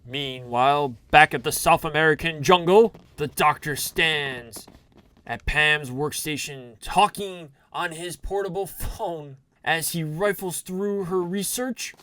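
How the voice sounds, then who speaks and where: A man's voice: on the high side, slow pace, fairly bright tone, dry recording. A man narrating an audio drama with a campy, dramatic announcer delivery in the style of a 1960s serial. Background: music.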